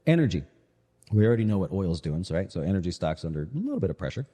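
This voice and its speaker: A man with a low-pitched, very mellow voice talks through the markets as a live show host. He is casual and conversational, often checking in with short rhetorical questions. The recording has long, echoey reverb. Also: big pitch swings, rapid-fire. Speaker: financial show host, investment manager.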